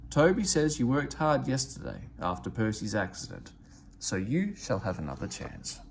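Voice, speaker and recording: A man's voice: mid-range voice, huge pitch swings, moderate pace, vocal fry, noisy background. A man voicing a pompous, severe older railway boss in a storybook, speaking with cross authority.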